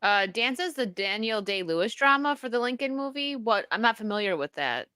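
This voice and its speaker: A woman's voice: fairly high pitch, expressive, fairly quick, bright, forceful tone, smooth, dry recording, studio quality. A woman co-hosting a livestream, chatting casually with short reactions. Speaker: livestream co-host.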